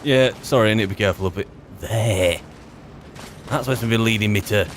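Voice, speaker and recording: A man with a fairly low voice, roleplaying casually as he plays a video game. He mutters wryly to himself and to the characters, with faint ambience behind him. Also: fairly quick, slight background noise. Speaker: gamer playing a character. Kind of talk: casual in-character roleplay commentary over gameplay.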